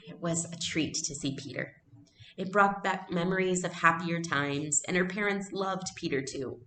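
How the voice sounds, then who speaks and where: A woman with a low voice narrates a storybook aloud. She is recorded in a roomy, echoey space. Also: fairly bright tone, a little hiss or hum. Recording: echoey room.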